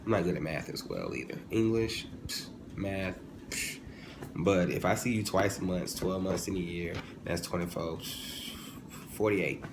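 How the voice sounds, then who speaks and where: A man in his twenties giving a casual, humorous opinion monologue as a vlogger, animated and informal, often digressing and correcting himself. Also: low-pitched, measured pace. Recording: clearly noisy, ambient background sound.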